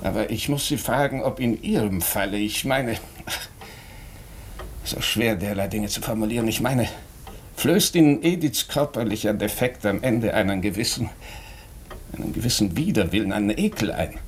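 An actor playing an earnest, probing doctor in a German radio drama, speaking with deliberate, morally insistent urgency. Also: male voice, fairly low pitch, lively intonation, a little hiss or hum, close to an everyday voice. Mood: probing, tactful.

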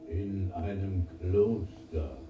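A man's voice: sits low, fairly even intonation, drawn-out words, soft background music. A man playing an eerie ghost in a radio drama, chanting with a hollow, distant, echoing effect. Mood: eerie, grave. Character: eerie male ghost called up at a séance. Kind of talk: German radio drama acting. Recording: dull, echoing, distant, very noisy.

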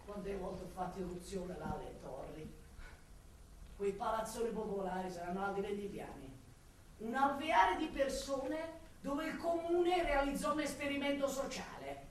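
An actress playing a sardonic, brooding police detective in a theatrical radio drama monologue. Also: female voice, a little high, normal speed, natural voice. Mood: brooding, bitter. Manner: dramatic, shifting from snappy retorts to pensive musing. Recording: distant, very echoey room, faint noise.

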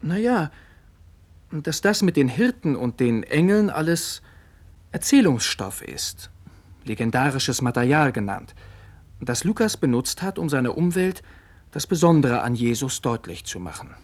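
An actor playing a rebellious nineteen-year-old son in a radio play, earnest, critical and argumentative. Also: male voice, medium pitch, big pitch swings, a little slow, husky, full character voice. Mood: defensive, earnest. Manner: emphatic, argumentative. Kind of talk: late 1960s radio play acting.

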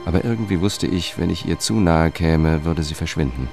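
A very low, weary male voice tells an investigator's story in a radio drama. He is measured and melancholic, holding back emotion, with clear music behind him. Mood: melancholic, reflective. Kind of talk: radio drama narration and dialogue.

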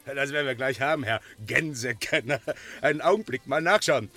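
An actor playing a gruff, gloating goose dealer in a 1950s radio drama, mocking and quick to anger. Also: male voice, medium pitch, sharp tone, natural voice. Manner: blustering, mocking, quick-tempered. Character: gruff, gloating market goose dealer, middle-aged man.

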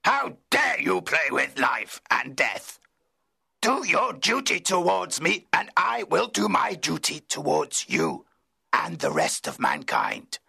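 A wretched, man-made monster, acted by a man in an audiobook, his voice deeply gravelly and husky. He speaks slowly and bitterly, lonely and full of brooding menace. The tone is bright and edged. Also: huge pitch swings, full character voice. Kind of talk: audiobook character voice.

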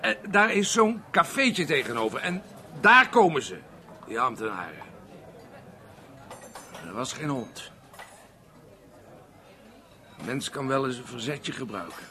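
An actor playing a drunk, aggrieved unemployed man rambling haltingly at a bar in a radio play. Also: medium pitch, sing-song, noisy background. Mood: tipsy, grumbling. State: drunk (acted). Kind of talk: Dutch radio play monologue and dialogue.

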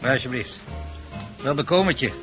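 An actor playing an easygoing, bantering bartender in a Dutch radio drama, with familiar, unhurried talk. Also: male voice, medium pitch, expressive, natural voice. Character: easygoing male bartender, bantering but cautioning. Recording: music playing behind.

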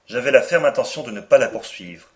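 A man reading a first-person story aloud as an audiobook, with a solemn, deliberate delivery. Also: mid-range voice, animated. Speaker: audiobook reader.

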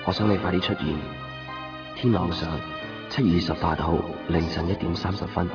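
A man's voice: low voice, steady tone, moderate pace, lightly acted. An actor playing a melancholy, lovesick man with a Hong Kong Cantonese accent, narrating wistfully in a radio drama. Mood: wistful longing. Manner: wistful, introspective. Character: lovesick, melancholy man pining for a missing woman. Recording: muffled 1990s radio drama, distant, music behind. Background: music.

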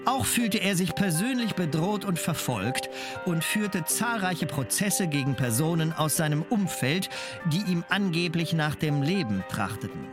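An actor playing a sober biographical narrator, reading scripted documentary material in a factual manner. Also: male voice, on the high side, animated, a little slow, over music.

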